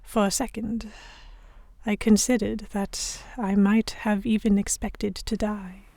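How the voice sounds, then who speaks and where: A woman playing a young Victorian noblewoman captive, narrating her story in a measured, literary first person. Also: mid-range voice, little pitch movement, creaky, warm tone, no room sound. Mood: dazed, reflective. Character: young English noblewoman captive, reflective, stubborn, Victorian era. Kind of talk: first-person scripted narration in an audio drama.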